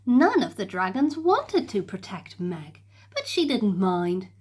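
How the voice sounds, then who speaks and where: Playful children's story narrator, unhurried, lots of lilt. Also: female voice, medium pitch, husky. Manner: animated, slow and playful. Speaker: storybook reader.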